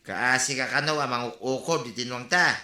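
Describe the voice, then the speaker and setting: A man's voice: mid-range voice, normal speed, sharp tone, echoey room. A man acting a touchy husband who scolds others for gossiping about his wife, in a radio drama. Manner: scolding, raises voice.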